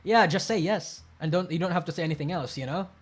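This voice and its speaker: A man reacting to clips on a live stream, rambling and blunt, swearing often, restarting sentences, and breaking into sudden loud bursts. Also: on the high side, quick pace. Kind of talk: casual live-stream commentary.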